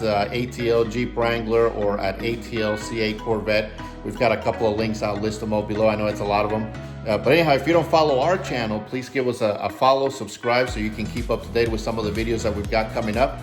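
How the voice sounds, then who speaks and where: A man's voice: lowish voice, fairly even intonation, fast talker, music in the background. A man hosting a car vlog, chatting casually with informal enthusiasm. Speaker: car channel host.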